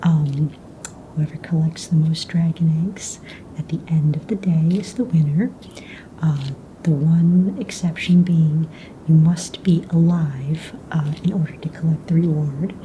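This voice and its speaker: A woman playing a calm, businesslike young fantasy egg hunt official in a breathy, soft-spoken ASMR roleplay, casual and matter-of-fact. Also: sits low, unhurried, slight background noise, rough recording. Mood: calm, polite. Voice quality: breathy, whispery.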